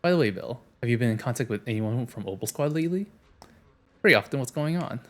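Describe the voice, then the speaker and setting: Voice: mid-range voice, lively intonation, fast. A game streamer chatting casually and reading dialogue aloud on a livestream, sometimes stumbling and self-correcting.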